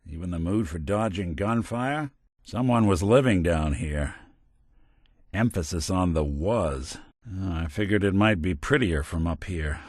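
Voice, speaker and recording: A man's voice: low-pitched, normal speed, slightly rough, no echo, clean studio sound. An actor playing a world-weary synth detective in a video game, speaking in a wry, sardonic, old-time tough-guy manner. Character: world-weary wisecracking male synth robot detective, middle-aged. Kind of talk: scripted game companion dialogue, noir detective.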